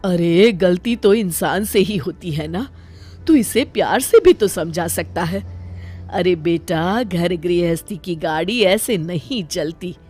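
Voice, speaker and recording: A woman voicing a protective, wise older mother-in-law who gently scolds in an animated story. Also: on the low side, slow pace, husky, soft background music, voice pushed down, heavily acted. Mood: gently scolding. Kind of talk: animated moral story dialogue.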